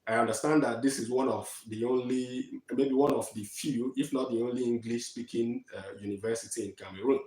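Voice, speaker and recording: A man from Nigeria in his thirties giving a measured online talk from slides to students, with frequent fillers. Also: medium pitch, steady tone, a little mellow, echoey room, low-quality recording. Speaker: guest speaker. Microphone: video call.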